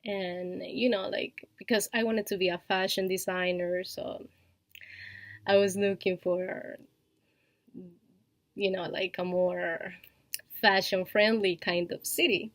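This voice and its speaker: Colombian-accented woman vlogger, slow and unhurried, dry close sound, hesitant with fillers and little laughs. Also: mid-range voice, fairly even intonation, dry recording. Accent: Colombian Spanish. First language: Spanish. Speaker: vlogger and online shop owner. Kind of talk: casual personal vlog.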